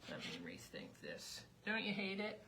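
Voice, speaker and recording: A woman's voice: mid-range voice, huge pitch swings, normal speed, bright, forceful tone. Middle-aged woman, sewing instructor, voice going, rambling live-demo chat, noisy recording. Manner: chatty, rambling, thinking aloud. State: losing her voice. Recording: noisy background.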